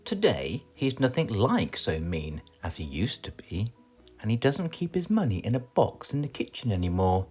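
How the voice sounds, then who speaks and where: A man with a British accent reading a children's storybook aloud in a theatrical, expressive way. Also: lowish voice, moderate pace, husky, faint music behind. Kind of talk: animated children's storybook narration.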